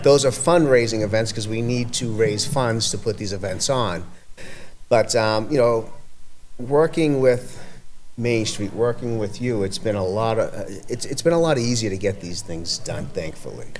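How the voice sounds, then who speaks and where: A man with an Everett, Massachusetts accent, talking as a community event organizer in a casual podcast chat, rambling and halting with many restarts. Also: lowish voice, a little hiss or hum.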